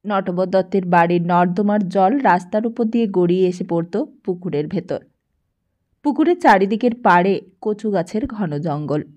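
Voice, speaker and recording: A woman's voice: mid-range voice, moderate pace, darkish tone, highs cut off. A woman, reading a scripted story aloud as an audiobook narrator.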